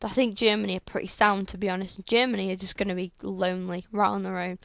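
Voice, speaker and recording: Young woman w a sore throat, croaky gravelly voice, chatty game commentary on a headset, mid pitch. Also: level tone, quick pace, fairly bright tone, no room sound, clean studio sound. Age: young adult. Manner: chatty, rambling, lots of fillers. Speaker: gaming channel host. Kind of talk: casual video game commentary. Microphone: headset microphone.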